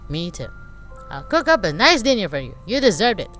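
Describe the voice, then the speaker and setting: A reader voicing a shy, hurt young woman in a game read-through, soft and halting, trailing off. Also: mid-range voice, sing-song, faint music behind. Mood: gentle.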